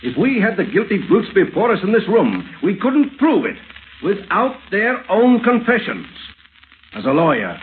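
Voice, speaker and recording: Casting: protective older friend, fairly high, very animated voice, old radio drama. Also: male voice, normal speed. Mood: earnest, dismayed. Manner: earnest, paternal, emphatic. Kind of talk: 1930s-40s radio acting. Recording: tinny old-time radio recording with hiss.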